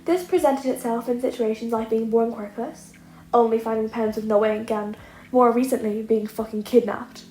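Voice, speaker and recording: A woman's voice: on the high side, steady tone, moderate pace, warm tone. A woman reading scripted comic fiction aloud as a story narrator. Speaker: narrator, podfic reader. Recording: echoey room, audible noise.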